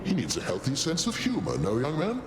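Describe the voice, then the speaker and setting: Grandiose god with animated, echoing voice. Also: male voice, fairly quick. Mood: pompous, amused. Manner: pompous, booming, theatrical. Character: boastful, jovial older male Olympian god, grandiose uncle. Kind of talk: video game voice acting. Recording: roomy echo, loud background noise.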